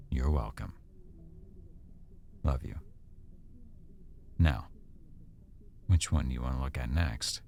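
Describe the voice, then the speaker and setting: A man playing an affectionate, teasing, easily embarrassed boyfriend in an intimate, conversational ASMR roleplay, speaking softly and a little hesitantly. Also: very deep voice, gravelly, slight background noise, exaggerated voice.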